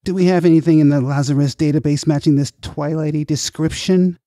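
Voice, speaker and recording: A man's voice: on the high side, steady tone, a little fast, mellow, no echo, studio quality, pitched above the performer's own voice, lightly acted. A man voicing a leering, sarcastic, sceptical agency director in an audiobook, with a mocking drawl. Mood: mocking scepticism. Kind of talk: audiobook character voice.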